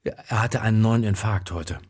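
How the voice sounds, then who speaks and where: An actor playing a menacing, lovesick extortionist in a radio drama, muttering insistently in halting, repeated phrases. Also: male voice, on the low side, a little mellow, slightly rough. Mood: menacing, coaxing. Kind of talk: stylized radio drama monologue and dialogue. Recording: music behind the voice. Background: music.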